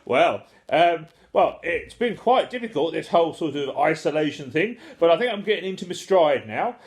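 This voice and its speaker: A man's voice: a little high, lively intonation, moderate pace. A man chatting casually and comically, with a jokey, self-deprecating and slightly rambling, hesitant manner.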